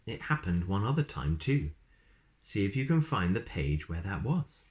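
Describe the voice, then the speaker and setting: Deep voiced guy reading a kids story, really up n down, explains stuff as he goes. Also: big pitch swings, normal speed. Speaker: storybook reader. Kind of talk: children's story narration with asides to the viewer.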